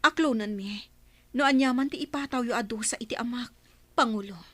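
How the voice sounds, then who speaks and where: A woman's voice: on the high side, a little fast, dry recording, close to an everyday voice. A woman with a Filipino accent playing a stern, principled young tribeswoman, a chieftain's daughter, in a radio drama. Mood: pleading.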